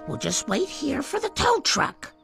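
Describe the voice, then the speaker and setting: A woman voicing an anxious, cautious cartoon mom who turns adventurous about food, with a raspy voice. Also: high-pitched, huge pitch swings, unhurried, over music, heavily acted. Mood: worried. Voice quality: gravelly, raspy. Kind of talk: animated sitcom voice acting.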